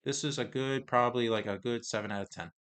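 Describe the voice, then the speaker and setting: A man casually reviewing trading cards, reading card text aloud in a halting, hesitant way, his voice getting hoarse. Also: mid-range voice, a bit bright. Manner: halting, hesitant, many pauses and restarts.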